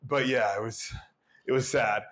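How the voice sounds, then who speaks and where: A young man speaks as an interview guest, loose and rambling, his words padded with frequent ums. His voice sits at an ordinary middle pitch for a man. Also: fairly even intonation, moderate pace, fairly bright tone. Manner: easygoing, rambling, many filler ums. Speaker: state senate candidate and schoolteacher. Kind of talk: casual interview chat.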